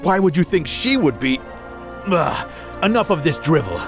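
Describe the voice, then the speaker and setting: An actor playing a contemptuous human military villain in a fantasy game, with a disdainful, theatrical delivery. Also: male voice, on the high side, sing-song, normal speed, over music. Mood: scornful, impatient. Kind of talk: fantasy game character acting.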